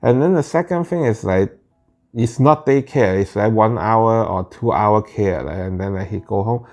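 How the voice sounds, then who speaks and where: A man in his thirties with Cantonese as his first language, telling a personal parenting story in a halting way, with many restarts and fillers. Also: fairly low pitch, a little mellow. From Northern California Bay Area. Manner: halting, frequent "like" and restarts, plain non-native phrasing. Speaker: parent vlogger. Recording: faint guitar music behind.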